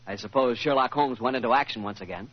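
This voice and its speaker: A man's voice: mid-range voice, fast talker. A man with an American accent announcing in polished, salesmanlike 1940s sponsored radio style. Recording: dull lo-fi 1940s radio recording.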